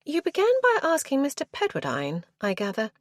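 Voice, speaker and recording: A woman voicing a brisk, tart married lady of the Regency era who speaks with crisp formality. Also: high voice, wildly swooping pitch, dry recording, studio quality, voice pushed up.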